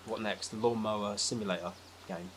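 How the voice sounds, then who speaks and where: A man's voice: fairly low pitch, fairly even intonation, brisk. A man speaking as a podcast guest in casual, opinionated discussion, with a dry, sceptical manner. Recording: faint background noise.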